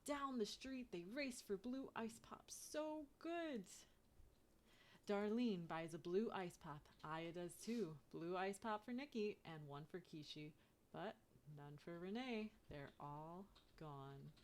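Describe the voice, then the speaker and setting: A woman reading a children's picture book aloud in an expressive way, turning sing-song on chants. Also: medium pitch, slow pace. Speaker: storybook reader.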